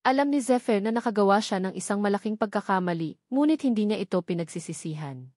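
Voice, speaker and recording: A woman's voice: mid-range voice, animated, fast, darkish tone, no room sound, studio quality. A woman reading a novel aloud as a scripted audiobook narrator, keeping a formal reading voice.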